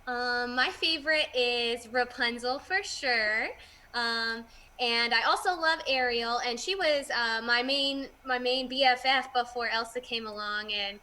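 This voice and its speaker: A woman's voice: a little high, measured pace, pushed and bright. A young woman, a guest who runs a party entertainment business, chatting casually and laughing often on a video call.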